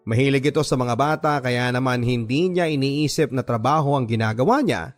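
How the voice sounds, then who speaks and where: A Filipino man tells a dramatic first-person story in the style of a radio love drama. His mid-pitched voice is earnest and sentimental, with deliberate phrasing. Soft music plays behind him. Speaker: radio drama narrator reading a letter-sender's story. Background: background music.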